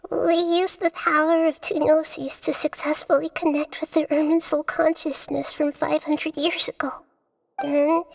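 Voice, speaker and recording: A woman's voice: sits high, creaky, a little mellow. A woman playing a small, childlike goddess of wisdom in a video game, earnest, precise and thoughtful, with music behind. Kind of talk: dramatic video game voice acting.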